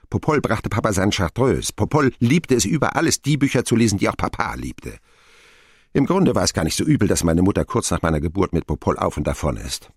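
An actor playing a grown man who wryly recalls his boyhood, narrating a radio drama in an expressive, sardonic manner. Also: deep voice, slightly rough, no room sound, studio quality, heavily acted. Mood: bitter, sardonic.

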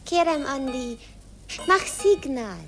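Innocent village girl, radio drama: high, lilting, very slow halting speech. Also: lively intonation, a bit bright, full character voice. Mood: tender, coaxing. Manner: artless, coaxing, halting. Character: naive, affectionate teenage Hungarian village girl. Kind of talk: 1950s radio play acting. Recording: vintage radio recording with music behind.

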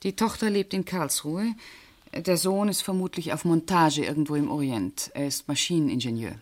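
A woman's voice: low-pitched, moderate pace. An actress playing a precise, guarded woman witness answering questions in a German radio crime drama, with controlled delivery. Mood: matter-of-fact.

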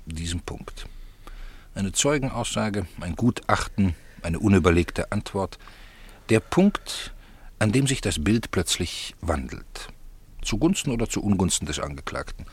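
Idle curious novelist, low husky voice, musing literary delivery, radio drama. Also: male voice, very animated, measured pace, heavily acted. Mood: musing, keen. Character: urbane, idle crime novelist, curious and romantic. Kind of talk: German radio drama acting.

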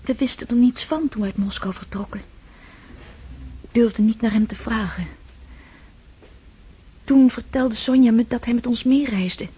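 Natasha, a fragile grieving young woman, as an actress plays her in a radio play. She speaks slowly in a hushed, very breathy, halting voice that sounds mellow and dark. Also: fairly high pitch.

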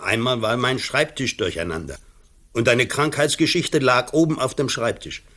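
An older actor with a Swiss German accent playing a kindly, reassuring doctor in a radio play, a little off-mic. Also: male voice, mid-range voice, moderate pace, bright, forceful tone. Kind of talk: 1980s radio play acting.

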